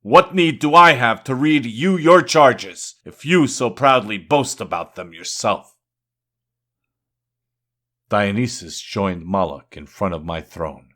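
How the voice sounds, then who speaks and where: A man's voice: medium pitch, animated, slow pace, fairly bright tone. A man giving a dramatic, scripted poetry reading in a solemn, declamatory manner. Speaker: poetry reader.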